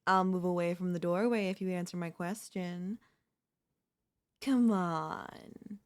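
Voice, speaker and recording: A woman playing a teasing, possessive young woman in an ASMR roleplay, with a smug, coy, drawn-out delivery. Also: lowish voice, clear, resonant tone. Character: teasing, possessive young woman, former childhood bully next door.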